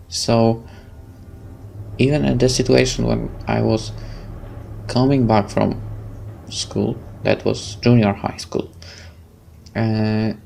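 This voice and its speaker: A man's voice: mid-range voice, vocal fry, a little mellow. A man from Poland with a Polish accent, Polish as his first language, reading a visual novel aloud and chatting loosely as a let's play host. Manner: unhurried, loose, slightly broken phrasing.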